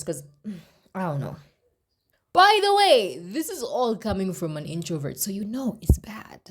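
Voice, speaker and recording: A young woman from Zambia, chatting casually to camera in her vlog, expressive and pleading at times. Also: low-pitched. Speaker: vlogger.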